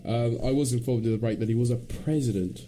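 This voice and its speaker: A man hosting a relaxed radio show, with a dark, warm tone and a chatty, playful way of questioning. Faint hiss sits under his voice. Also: mid-range voice, fast talker. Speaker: host. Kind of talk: casual radio chat.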